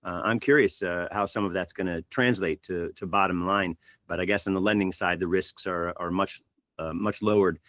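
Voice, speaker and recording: A man's voice: low voice, fairly even intonation, moderate pace, fairly bright tone, dry recording. A man from the United States moderating a panel, talking conversationally and asking questions with plenty of fillers. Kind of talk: panel discussion.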